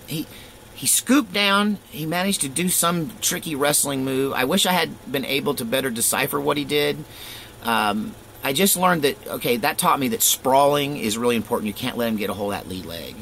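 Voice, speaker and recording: A middle-aged man casually telling a rambling personal story, animated and full of fillers and chuckles. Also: mid-range voice, moderate pace, creaky, a little hiss or hum, low-quality recording.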